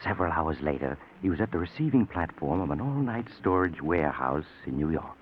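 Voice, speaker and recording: Old-time radio mystery narrator, super deep, wry n confiding, tinny hissy sound. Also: male voice, big pitch swings, a little fast. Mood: wry, ominous. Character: The Mysterious Traveler, sly male storyteller, darkly amused. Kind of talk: 1940s radio suspense narration.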